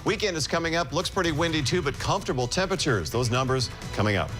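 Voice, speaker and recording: A man's voice: a little high, animated, fast talker, music in the background. A man presenting a short TV weather preview. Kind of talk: TV weather tease.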